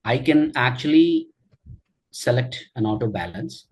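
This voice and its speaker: A man teaching a software tutorial during a screen share, explaining each step with frequent fillers and check-ins. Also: medium pitch, lively intonation, slow, husky, poor audio. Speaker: software trainer.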